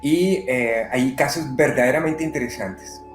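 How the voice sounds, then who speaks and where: Man from Colombia, medium-guest type, measured and pausing, echoey room. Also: medium pitch, soft background music. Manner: unhurried, many "eh" pauses. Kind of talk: conversational interview. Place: living in Brazil.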